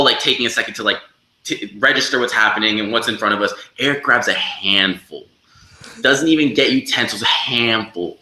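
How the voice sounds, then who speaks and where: Guy in a band telling a story, super bright sharp voice, stumbles over words, roomy echo. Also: medium pitch. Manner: halting delivery with repeated words and acted-out quotes. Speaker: band member being interviewed. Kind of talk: animated casual storytelling. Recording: echoey room.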